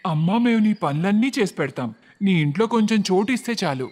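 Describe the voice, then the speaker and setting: Cartoon homeless boy of sixteen, played by a man: polite, eager, quick, higher-pitched, faintly noisy recording. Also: expressive, a little mellow, long reverb tail. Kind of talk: acted cartoon story dialogue. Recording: faint background noise.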